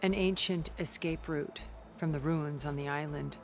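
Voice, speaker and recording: A woman playing a secretive older strategist in a game cutscene, speaking in a measured, formal way. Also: low-pitched, fairly even intonation, soft background music. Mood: cool, enigmatic. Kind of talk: video game cutscene acting.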